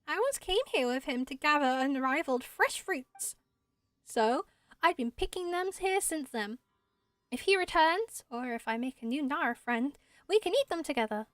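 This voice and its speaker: A woman's voice: high voice, animated, normal speed, fairly bright tone, no echo. A woman voicing a timid, dreamy little forest spirit for a video game, hesitant and rambling in a wide-eyed way. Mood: wistful, earnest.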